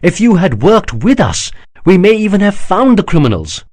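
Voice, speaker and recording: A man's voice: sits high, sing-song, moderate pace, a little mellow, no echo, voice pushed up, exaggerated voice. A man voicing an indignant young prince. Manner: indignant, heated. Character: indignant young male prince defending his people. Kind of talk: audiobook character voice.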